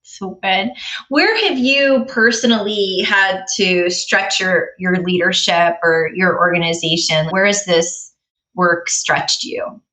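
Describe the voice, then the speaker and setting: A woman's voice: lowish voice, slow, bright, forceful tone, low-quality recording. A woman hosting an interview, enthusiastic and affirming. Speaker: host. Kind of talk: podcast interview.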